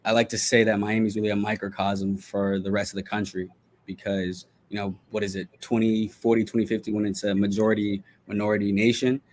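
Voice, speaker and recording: A man with an American accent and a fairly low voice talks as a guest in an interview. He explains his views earnestly and conversationally, like a journalist walking someone through what he has learned. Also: level tone, fairly quick, darkish tone, slight background noise. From Delaware, United States.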